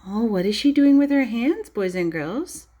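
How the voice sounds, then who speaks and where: A woman teacher reading a storybook aloud to young children in an expressive, child-directed way, pausing to explain and ask questions. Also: on the high side. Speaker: preschool or early primary teacher.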